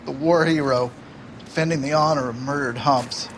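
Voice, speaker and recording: A man's voice: on the high side, fairly even intonation, moderate pace, fairly bright tone, slight background noise, low-quality recording. A man playing a callous, mocking newspaper reporter in a video game, sneering and sardonic. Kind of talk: cinematic video game acting.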